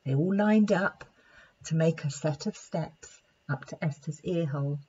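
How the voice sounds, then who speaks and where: A woman's voice: deep voice, measured pace, warm tone, breathy edge. A woman narrating a children's story expressively, in a slightly dull recording. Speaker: storybook reader, play therapist.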